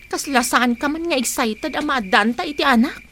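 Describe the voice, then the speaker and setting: A woman's voice: high-pitched, moderate pace, fairly bright tone, slight background noise. A woman with a Filipino accent playing a caring but anxious, suspicious young pregnant wife in a radio drama, with a plaintive, questioning way of talking. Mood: hurt, suspicious. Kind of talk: Ilocano radio drama acting. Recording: music behind the dialogue.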